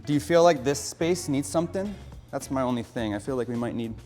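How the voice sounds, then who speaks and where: A man's voice: mid-range voice, expressive, fairly quick, darkish tone, big-room sound, soft background music. An American man hosting a casual hobby vlog, upbeat and coaching, explaining each step plainly. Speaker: aquarium hobbyist host.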